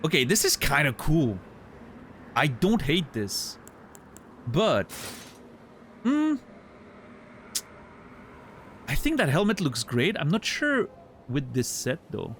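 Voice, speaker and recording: A man's voice: a little high, sing-song, slow, long pauses, fairly bright tone, slight background noise. A man with a French accent, French his first language, chatting casually on a livestream while he plays, thinking aloud and answering chat with playful mock outrage. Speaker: streamer. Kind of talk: casual livestream gameplay commentary.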